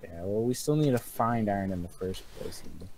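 A man's voice: fairly low pitch, animated, normal speed, creaky, warm tone, slight background noise. A young man gaming with a friend, making dry, teasing remarks. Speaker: gaming channel co-host. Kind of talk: casual gameplay commentary.

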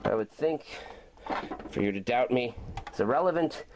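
A man giving an amateur dramatic reading of a science-fiction story, rambling and philosophical, with halting delivery. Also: medium pitch, lively intonation. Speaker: author performing his own audio drama. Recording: very noisy amateur home recording.

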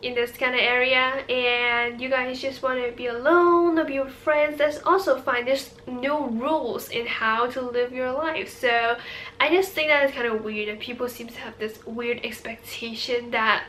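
A woman's voice: fairly high pitch, fairly even intonation, normal speed, a bit bright, slight background noise, rough recording. An eighteen-year-old woman with a Hong Kong accent, a native Cantonese speaker, chatting casually and a little hesitantly to camera as a vlogger. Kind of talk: casual chit-chat to camera.

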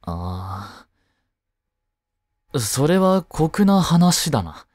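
Caring high-school boy next door , male actor, romantic audio drama; casual, reassuring, slow delivery, dry sound. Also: sing-song, a little mellow, dry recording, heavily acted. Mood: concerned, supportive. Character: dense, easygoing teenage boy, caring childhood friend. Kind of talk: Japanese romantic audio drama addressed to the listener.

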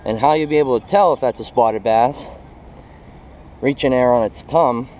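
A young man with an American accent talks casually as a fishing vlogger. His voice is relaxed and medium in pitch. He speaks informally to his viewers, recorded on an action camera outdoors with faint noise behind him. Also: moderate pace, dull audio. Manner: informal, addresses viewers as guys. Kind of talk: casual vlog chat.

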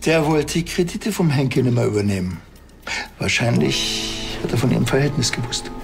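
A man's voice: medium pitch, animated, normal speed, vocal fry, music in the background. An actor playing a blunt, grumpy male police detective with a curt, impatient manner. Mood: insistent.

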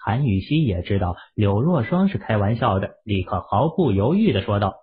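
A man narrating a novel as an audiobook in an expressive storytelling manner. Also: lowish voice, moderate pace, slightly rough. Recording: tinny phone-like audio.

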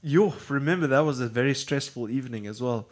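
A man, hosting a casual chat in a living room, slightly nasal from bronchitis and often repeating words as he thinks. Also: medium pitch. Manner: hesitant, repeats words, drawn-out phrasing. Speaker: podcast host.

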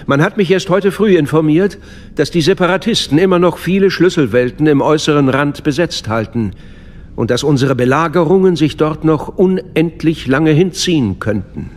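A man performs an older galactic chancellor for a radio drama. He is measured and courtly, with an unctuous, fatherly manner that hides a schemer. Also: a little high, a little mellow, lightly acted. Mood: courtly, calculating. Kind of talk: German radio drama acting.

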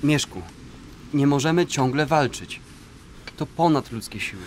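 A man's voice: mid-range voice, lively intonation, measured pace, a little hiss or hum, natural voice. A man acting in a radio play as a prince's weary, reasonable and caring brother, earnest in manner. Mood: weary pleading.

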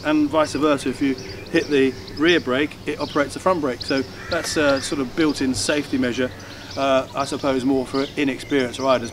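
An older man co-hosting a motorcycle review, talking casually outdoors in a churchyard, explaining with frequent ums. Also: medium pitch. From England. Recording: distant outdoor mic with clear background noise.

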